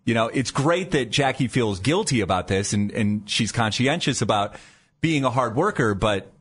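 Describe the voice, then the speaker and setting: A male morning radio host talks in a chatty, easy way, restarting sentences as he goes. His pitch swings lively up and down. His voice sits in the middle of the range. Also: moderate pace, breathy edge. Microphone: studio mic.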